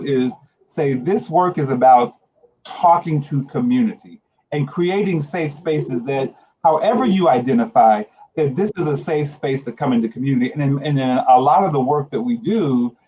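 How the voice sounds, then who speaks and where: A man's voice: a little high, mellow, breathy edge, lo-fi sound. A man in his late forties speaking earnestly and conversationally as a panel guest, reflective and emphatic, repeating phrases for emphasis. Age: late 40s. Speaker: guest, HIV organization leader.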